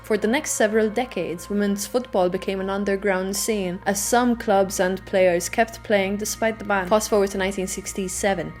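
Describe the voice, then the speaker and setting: A woman's voice: medium pitch, steady tone, moderate pace, hardly any pauses, a little mellow. A woman reading scripted narration in a conversational way, with rhetorical touches, as for a video essay. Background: background music.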